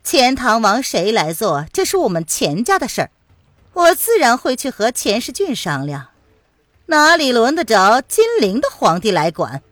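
A woman's voice: fairly high pitch, very animated, normal speed, fairly bright tone, no echo, studio quality, voice pushed up. A woman voicing a cold, mocking and commanding martial-arts villainess in a dramatised audiobook. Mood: taunting, commanding.

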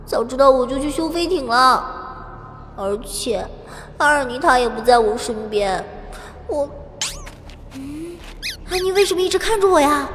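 High-voiced chatty young mage girl. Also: expressive, moderate pace, pauses often, a bit bright, slight background noise. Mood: complaining, uneasy. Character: chatty young mage girl narrating her adventure, timid yet cocky. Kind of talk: Chinese audio drama acting, first-person narration and dialogue.

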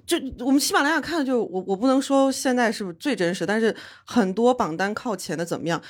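A woman chatting casually on a podcast, arguing her opinion quickly and laughing as she talks. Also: fairly high pitch, animated. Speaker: podcast guest. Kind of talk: casual chat.

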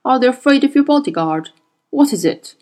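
A woman voicing a powerful, grey-haired older man who speaks in a low murmur. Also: lively intonation, fairly quick, dark tone.

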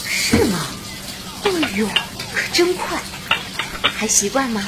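An actress playing a warm, dutiful middle-aged canteen worker and mother in a radio drama, chatty and earnest. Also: on the high side, big pitch swings, slow, heavy background noise. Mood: friendly, busy. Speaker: narrator and lead character. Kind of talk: radio drama acting with first-person narration.

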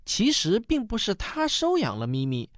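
A man's voice: high voice, huge pitch swings, normal speed, no room sound, clean studio sound, full character voice. A man playing a patient, kindly father who tells stories in a radio drama. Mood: amused, patient. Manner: warm storytelling, patient explaining. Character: patient, kindly father explaining family history to his daughter.

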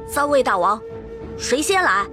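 A woman's voice: sits high, very animated, unhurried, over music. A woman voicing a defiant young male tiger demon, feisty and stubborn, in a fantasy audio drama.

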